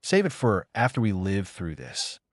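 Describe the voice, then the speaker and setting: A man's voice: fairly low pitch, huge pitch swings, normal speed, no room sound, clean studio sound. Man voicing a terse young ninja giving orders. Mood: commanding. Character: commanding young male ninja fighter giving battle orders.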